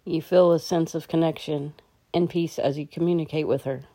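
A woman leading a guided meditation in a calm, gentle, instructional way. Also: low-pitched, moderate pace.

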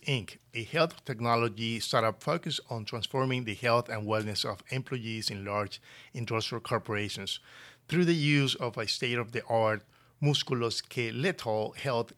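A man announces the show and reads a long guest biography in a formal, scripted way. His tone is bright and forward, and his pitch sits in the middle of the male range. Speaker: podcast announcer. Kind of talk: scripted intro reading.

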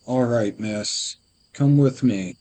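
Slow-talking, folksy gate guard. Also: male voice, mid-range voice, dark tone, low-quality recording. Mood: puzzled, wary. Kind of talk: scripted audio drama.

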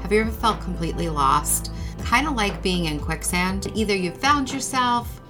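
A woman's voice: medium pitch, animated, normal speed, over music. A woman, hosting her own podcast in a chatty, candid, self-deprecating way. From United States. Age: 40s. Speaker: podcast host and goal coach. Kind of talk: casual solo podcast talk.

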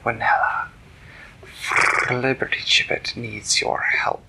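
A man voicing a calm storybook cat messenger in a hushed, breathy whisper. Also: mid-range voice, takes it slowly, heavily acted, low-quality recording. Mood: urgent, hushed.

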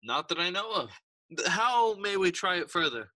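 A man's voice: high-pitched, sing-song, normal speed, pushed and bright, no echo, heavily acted. A man playing a calculating king in a Shakespearean audio play, commanding and probing in manner.

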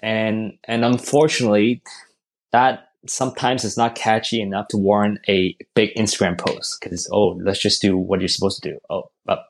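A man from Hong Kong with a lowish voice talks through his fitness approach as a guest on a podcast. He is thoughtful and often restarts a word before finishing his thought. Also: flat delivery, normal speed. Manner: thoughtful, restarts words, light laughs. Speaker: strength and conditioning coach. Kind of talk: podcast interview answers.

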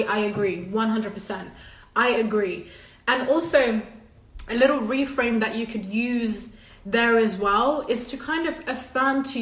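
A woman's voice: a little high, a bit bright. A woman from Zimbabwe whose first language is Shona, giving a casual, earnest and emphatic motivational talk to a live online audience, swearing freely as a coach would among friends. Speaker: live-stream host and coach. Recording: echoey, reverberant room.

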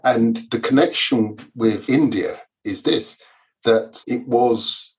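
A Nigerian-born man, an author and historian, explaining history as a podcast guest in a measured, lecturing way. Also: medium pitch, husky. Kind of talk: conversational explanation.

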